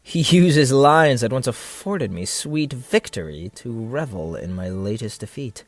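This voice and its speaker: An actor playing a vain, scheming, seductive military captain in a florid, theatrical audio drama style. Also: male voice, medium pitch, animated. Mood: bitter, scheming. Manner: theatrical, florid, pausing rhetorically. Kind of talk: stage-play style period audio drama acting.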